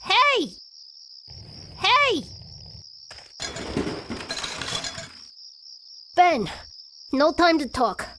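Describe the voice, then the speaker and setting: A determined news photographer in a video game. Her voice is exceptionally high, urgent and insistent, as if everything hangs on being heard. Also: huge pitch swings, drawn-out words, bright, forceful tone, a little hiss or hum, full character voice. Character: driven, pleading young woman news photographer. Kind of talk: video game cutscene acting.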